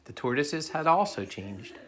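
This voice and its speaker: A man's voice: fairly high pitch, sing-song, unhurried, fairly bright tone. A man reading a children's picture book aloud as a father would to his child, in a plain storytelling way.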